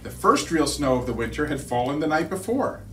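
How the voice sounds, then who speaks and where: A middle-aged man reading a children's storybook aloud in an expressive, deliberate storytelling manner. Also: fairly high pitch, a little hiss or hum. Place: living room by a fireplace, indoors. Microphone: distant camera. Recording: roomy living room, distant mic.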